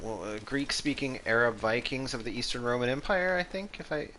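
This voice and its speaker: A man's voice: mid-range voice, a little fast. A man from Texas, an Egyptologist, chatting casually about history while playing a game, rambling with lots of fillers. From Texas, USA. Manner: rambling, filler-heavy, thinks aloud. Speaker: Egyptologist streamer. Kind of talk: casual gaming commentary with history asides. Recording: steady background noise.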